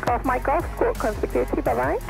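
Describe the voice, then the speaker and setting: Lady air traffic controller on the radio, highish creaky voice, music underneath. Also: a little fast. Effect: aviation radio transmission. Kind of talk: procedural radio phraseology.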